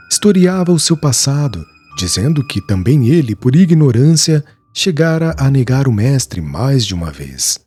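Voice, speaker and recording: A man's voice: mid-range voice, darkish tone, slightly rough, pitched below the performer's own voice. A man voicing a grave, devout old weaver. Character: humble older male weaver, devout and earnest.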